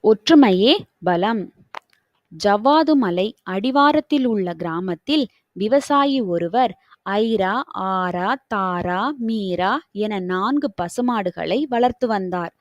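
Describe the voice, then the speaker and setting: A woman's voice: mid-range voice, expressive, slow pace, dull audio. A woman reading scripted moral stories for children as a storybook narrator.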